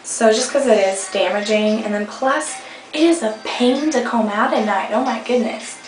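A young woman with a slightly hoarse voice, chatting casually through a hair tutorial with rambling fillers, recorded on a webcam at home. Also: on the high side, normal speed, slight background noise. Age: young adult. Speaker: beauty vlogger. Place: indoor room at home. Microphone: webcam at arm's length. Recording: echoey room, faint music behind.

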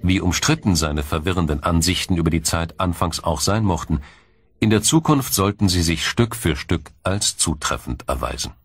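A man narrating a scripted documentary in a measured, explanatory storytelling manner. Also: low-pitched, slightly rough, no echo, clean studio sound. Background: music.

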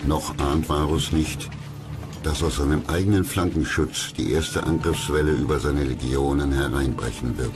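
A man narrates a documentary in an extremely deep voice. His delivery is scripted storytelling, and loud music plays underneath him throughout. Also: steady tone, normal speed. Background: loud background music.

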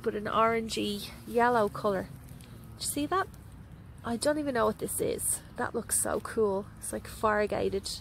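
A woman's voice: fairly high pitch, slight background noise, low-quality recording. Chatty Australian woman touring her garden. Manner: chatty and enthusiastic, frequent ums. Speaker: gardening vlogger. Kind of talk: casual garden tour walkthrough. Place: outdoors in a home garden. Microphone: handheld phone camera.

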